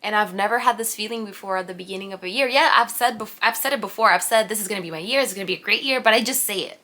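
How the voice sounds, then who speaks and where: A woman's voice: mid-range voice, rapid-fire, bright, forceful tone. A woman in her late twenties from Puerto Rico, a native Spanish speaker, chatting to camera at home in a rambling, giggly way. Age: 20s. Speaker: vlogger. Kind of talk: casual unscripted talk to camera. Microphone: camera mic.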